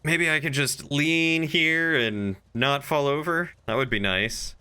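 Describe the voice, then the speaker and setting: A man talks casually while livestreaming game roleplay. His voice is crisp and forward, with a bright edge at an ordinary pitch. He rambles along, thinking out loud and reading out chat. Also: slow.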